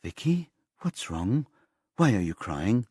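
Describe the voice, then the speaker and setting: A man voicing an anxious, caring woman in an audiobook, with a concerned, brisk manner. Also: fairly low pitch, big pitch swings, mellow, husky, dry recording, studio quality, full character voice. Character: anxious, caring woman looking after a troubled girl. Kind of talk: audiobook character dialogue.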